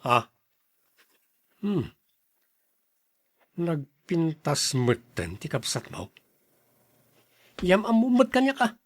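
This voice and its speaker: Man acting a high-pitched, blustery protective older brother. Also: wildly swooping pitch, very slow, long pauses, no room sound, full character voice. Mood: curious. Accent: Ilocano. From Philippines. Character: stern protective older brother who turns smitten suitor. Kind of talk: Ilocano radio drama acting.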